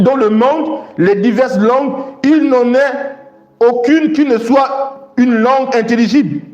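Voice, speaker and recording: A man's voice: very high voice, darkish tone. A man preaching a sermon, reading and explaining scripture emphatically and repeating phrases for stress. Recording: echoey room sound.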